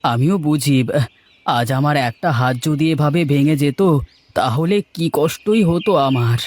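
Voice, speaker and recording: A man voicing a gentle, earnest young village farmer in a cartoon folk tale. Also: fairly high pitch, measured pace, slight background noise, close to an everyday voice. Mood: tender.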